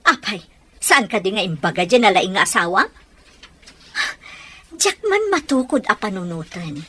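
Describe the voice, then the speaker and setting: A fierce foster mother in a radio drama, scolding with a bright, forceful edge. Her pitch swings widely as she accuses and argues. She sounds confrontational and protective. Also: very animated, moderate pace, husky. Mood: accusing. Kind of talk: Ilocano radio drama acting.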